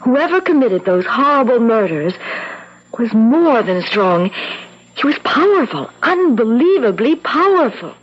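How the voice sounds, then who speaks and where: Spirited, high-voiced young fiancée. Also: female voice, sing-song, a little slow. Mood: grave, urgent. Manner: theatrical, emphatic, repeats words for effect. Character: clever, affectionate young fiancée, spirited and coaxing. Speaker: radio drama actress. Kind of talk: 1970s radio drama acting. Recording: muffled 1970s radio broadcast.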